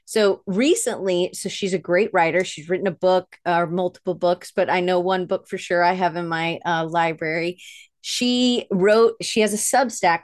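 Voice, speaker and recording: A woman hosting a live online show in an informal, enthusiastic way, chatty and often correcting herself as she goes. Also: on the low side. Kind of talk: enthusiastic, informal guest introduction.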